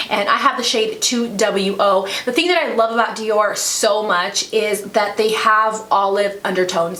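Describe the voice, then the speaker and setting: A middle-aged woman with a raspy voice from a lingering cold, chatting through a product review as a beauty vlogger. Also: mid-range voice, fairly bright tone. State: getting over a cold. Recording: roomy echo, faint background noise.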